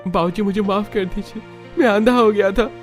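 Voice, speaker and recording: A man voicing a weak-willed young husband in a cartoon, pleading in a high strained voice. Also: steady tone, normal speed, faint music behind. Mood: desperate. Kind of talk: Hindi cartoon voice acting.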